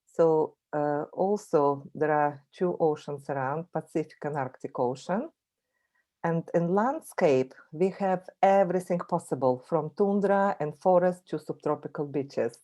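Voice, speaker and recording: A woman's voice: sits low, unhurried, warm tone. A woman with a Russian accent, a native Russian speaker, giving a friendly, chatty online presentation over a video call, with frequent fillers and restarts. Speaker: guest speaker, language teacher.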